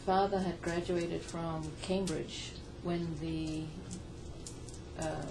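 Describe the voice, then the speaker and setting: An older woman from Zimbabwe, a guest in a radio interview, gracious and quick to laugh. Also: lowish voice, little pitch movement. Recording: distant mic with noticeable noise.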